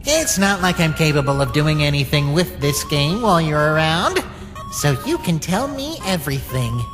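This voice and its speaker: An actor voicing a scheming, bitter little talking flower in a dramatic comic dub, with a taunting, drawn-out delivery. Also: mid-range voice, big pitch swings, big-room sound, music in the background, natural voice. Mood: sly, taunting.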